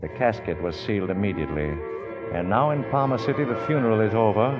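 A man narrating a radio drama as a 1940s announcer, formal and measured. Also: lowish voice. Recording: dull vintage radio, loud orchestral music.

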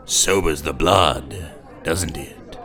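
A man's voice: rumbling low, drawn-out words, a bit bright, some chatter behind, pitched below the performer's own voice, heavily acted. A man voicing a pompous, haughty actor and traveller. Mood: soothing. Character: pompous posh male actor, lecturing.

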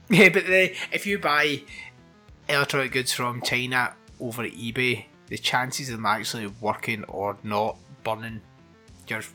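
A man co-hosting a podcast, teasing his co-host with quick asides and laughing as they chat. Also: medium pitch, bright, forceful tone, soft background music. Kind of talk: casual chat.

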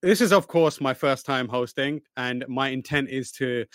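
A man with an East London accent chats loosely and rambles, laughing as he goes. His voice sits at a middling pitch. The sound is dry and close, with no room around it. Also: moderate pace, dry recording. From born in Pakistan, raised in East London. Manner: chatty, rambling, laughs often. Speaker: guest host. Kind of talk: casual chat.

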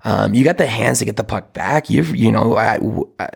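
A man who hosts a hockey coaching show, talking with a hoarse, raspy voice worn out from coaching. He gives casual advice and rambles, with many fillers and restarts. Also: on the low side, moderate pace. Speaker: hockey coach and podcast host.

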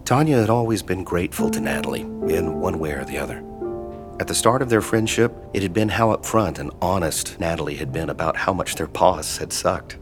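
A man acting as the measured, sombre narrator of a scripted audio drama, telling the story in the third person. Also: low voice, close to an everyday voice.

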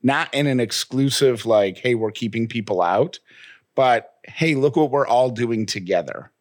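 A man's voice: mid-range voice, unhurried. A man chatting casually as the co-host of a podcast. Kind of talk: casual chat.

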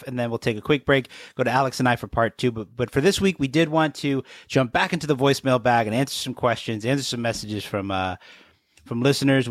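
A man's voice: mid-range voice, dry recording, clean studio sound. A man co-hosting a podcast in casual, conversational chat. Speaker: podcast co-host.

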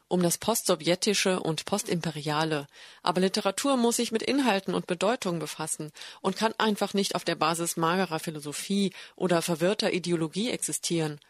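A woman reading a translated voice-over in the first person, calm and deliberate. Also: on the low side, dry recording, clean studio sound.

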